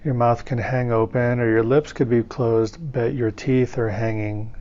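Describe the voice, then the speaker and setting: A man leading a guided meditation as a teacher, speaking in calm, soothing, measured phrases with pauses between them. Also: medium pitch, muffled recording.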